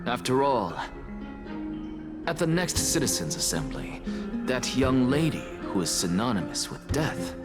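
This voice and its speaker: A man's voice: medium pitch, big pitch swings, measured pace. An actor playing a sly, self-assured male scholar and schemer in a video game, smug and theatrical in manner. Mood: smug, persuasive. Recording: loud music under the voice.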